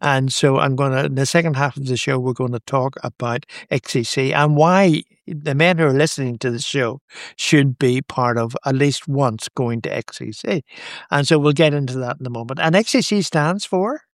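A man hosting a radio talk show, an encouraging interviewer who gives short soft responses. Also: mid-range voice, moderate pace, no room sound, clean studio sound.

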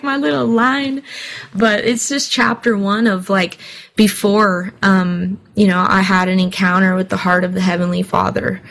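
A young woman from Hawaii answers interview questions with candid, earnest openness. She talks in a natural flow full of small fillers, at a mid-range pitch. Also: a bit bright. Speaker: singer guest.

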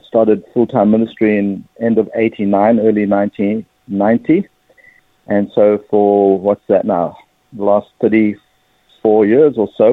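A man in his fifties with a South African accent, giving a measured personal account as an interview guest, pausing mid-sentence. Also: on the low side, no echo. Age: 50s. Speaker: interview guest, religious ministry leader. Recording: narrow phone-like line.

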